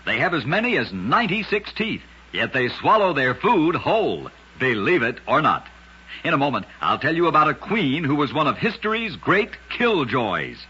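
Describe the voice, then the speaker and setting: A man hosting a radio show, narrating scripted curiosities in a measured, dramatic storyteller manner. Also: a little high, big pitch swings, sharp tone, breathy edge. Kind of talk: scripted old-time radio narration of oddities. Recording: dull, lo-fi old radio recording.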